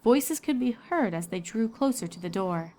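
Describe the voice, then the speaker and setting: A woman's voice: mid-range voice, lively intonation, normal speed, warm tone. A woman reading scripted story narration as a storyteller and voice actor.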